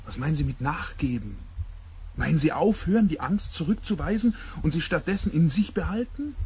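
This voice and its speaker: A man playing an urgent, sceptical expedition scientist with a husky, breathy voice in a science fiction radio play. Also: a little high, lively intonation, brisk, a little mellow, slight background noise. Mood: probing, urgent.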